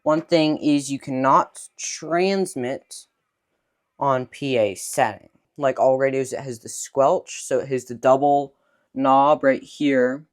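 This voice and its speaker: A man's voice: medium pitch, slow, clean tone. Man walking through radio controls step by step. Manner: explains step by step, points at controls. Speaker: son explaining a radio. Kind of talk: casual product demonstration.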